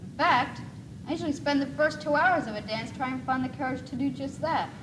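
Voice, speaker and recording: A high, youthful voice gives us an anxious schoolboy acted by a young student who dreads rejection. It is a school stage monologue. The old 1980s video recording has clear noise over it. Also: a little fast, close to an everyday voice. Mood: earnest, shy. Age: young teenager. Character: nervous lovesick schoolboy afraid of rejection. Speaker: student actor in a school one-act play.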